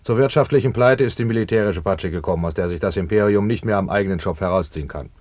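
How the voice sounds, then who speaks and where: A man's voice: deep voice, quick pace, darkish tone, no room sound, close to an everyday voice. A man playing a pompous, portly rich manufacturer in a satirical radio drama, long-winded and coldly businesslike. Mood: smug, businesslike. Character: pompous, portly, cold-blooded rich trouser manufacturer and tycoon.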